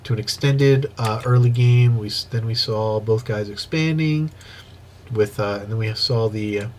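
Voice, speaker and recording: A man with a stuffy, sniffly nose giving excitable play-by-play commentary on a strategy game replay, with casual asides, on an old microphone. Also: on the low side, measured pace, a little hiss or hum. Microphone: old microphone he wants replaced.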